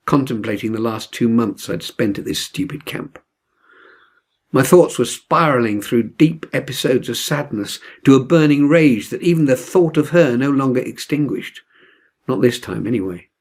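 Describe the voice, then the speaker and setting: A man reading a novel aloud as dramatic literary narration, expressive and measured. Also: medium pitch. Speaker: audiobook reader.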